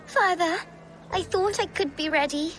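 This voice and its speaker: A woman's voice: very high voice, unhurried, a bit bright, a little hiss or hum. A woman playing a distraught young daughter, pleading in distress in a dramatic game cutscene. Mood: desperate.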